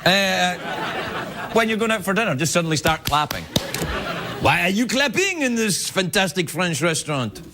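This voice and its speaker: A Scottish man, middle-aged, working a late-night stage with a fairly high, lively voice that bounces all over. He tosses off wry, self-mocking asides while heavy audience laughter fills the background. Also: moderate pace. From Scotland. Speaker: late-night talk show host. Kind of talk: comedic monologue to a studio audience. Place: television studio with live audience. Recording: loud audience noise behind.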